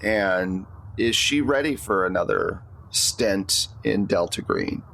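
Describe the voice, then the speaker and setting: A man's voice: low-pitched, slow pace, poor audio. A man speaking as game master, narrating a tabletop roleplaying game in a conversational way. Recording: clear steady background noise.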